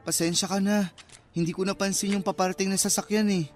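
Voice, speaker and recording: A man's voice: high voice, little pitch movement, fast, lightly acted. A man acting an earnest, awkward, apologetic young man in a Filipino radio drama. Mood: concerned, apologetic. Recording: music bed behind the voice.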